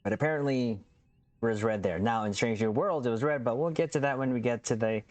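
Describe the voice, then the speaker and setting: A man's voice: medium pitch, a little fast, darkish tone, dry recording. A man hosting a casual game build walkthrough, upbeat and chatty, addressing his viewers as captains. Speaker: gaming channel host.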